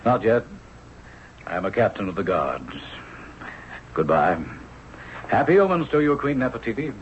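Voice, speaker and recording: An actor playing a courteous, reserved young captain of the palace guard in a radio drama, speaking formally. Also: male voice, lowish voice, sing-song, normal speed. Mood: formal, restrained. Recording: dull, noisy old radio broadcast.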